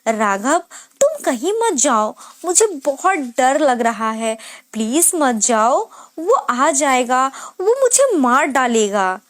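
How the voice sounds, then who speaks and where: Woman voicing a frightened, high-voiced young sister. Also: very animated, slow, hardly any pauses, exaggerated voice. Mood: terrified pleading. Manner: fearful, pleading. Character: terrified young woman pleading with her brother.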